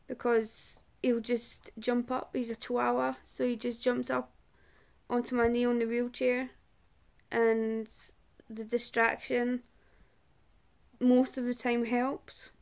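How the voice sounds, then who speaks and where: Scottish woman, 30s, personal vlog to camera; slow and halting with a raspy fry. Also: a little high. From Scotland. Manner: halting, searching for words with long pauses. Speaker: awareness vlogger and support group organiser. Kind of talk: personal awareness talk to camera.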